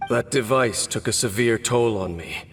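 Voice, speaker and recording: An actor playing a stoic, weary warrior captain in a video game, speaking in a grave, formal, measured way. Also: male voice, medium pitch, natural voice. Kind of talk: dramatic video game voice acting. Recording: loud music under the voice.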